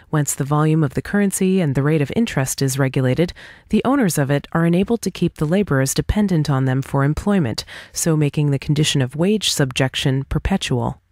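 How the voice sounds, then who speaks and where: A woman's voice: low voice, darkish tone, no room sound, natural voice. Woman reading as confident, articulate individualist debater, close dry sound. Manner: articulate, deliberate, rhetorical questioning. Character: confident, argumentative individualist debater, patient but pointed. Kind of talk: scripted dialogue reading for an audiobook.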